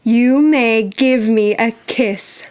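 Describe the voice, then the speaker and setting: A woman's voice: a little high, takes it slowly, darkish tone, clean tone. A woman playing a polite, eager young girl full of wonder in an audio drama. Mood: curious, delighted.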